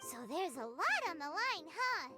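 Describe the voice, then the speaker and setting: A woman voicing a tiny excitable fairy companion girl in an anime game, with a squeaky childlike voice and exclaiming manner. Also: moderate pace, over loud music. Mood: curious, chirpy. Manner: refers to self in third person, exclaiming.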